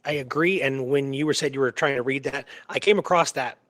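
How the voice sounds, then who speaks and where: A man co-hosting a show, casually discussing a historical mystery in a halting, rambling way that repeats words. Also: mid-range voice, fairly bright tone, no echo. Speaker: co-host of a radio history show.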